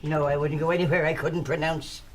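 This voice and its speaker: A woman's voice: sits low, level tone, a little fast, slight background noise, natural voice. An actress playing a muddled, blunt woman booking a trip. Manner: blunt, muddled, increasingly exasperated.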